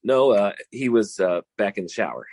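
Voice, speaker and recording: A rough, husky voice that slides up and down, recorded dry. A man plays a small-time gambler and smooth-talking boyfriend in an old radio comedy, full of swagger. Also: fairly low pitch, lively intonation, normal speed, darkish tone, no echo. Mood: breezy. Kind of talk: 1940s radio comedy acting.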